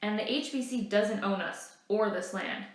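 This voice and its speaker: A woman's voice: mid-range voice, moderate pace, lightly acted. Woman as a firm resistance leader, very echoey. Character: firm, courteous male Métis resistance leader.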